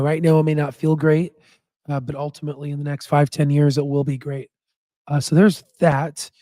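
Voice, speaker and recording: Hoarse male stock host, raspy worn-out voice, mellow dark tone, slightly raised pitch, dry close sound, rambling with fillers. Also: no room sound. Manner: rambling with many fillers and restarts. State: hoarse, voice nearly gone. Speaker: stock market channel host. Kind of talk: casual chat and stock analysis.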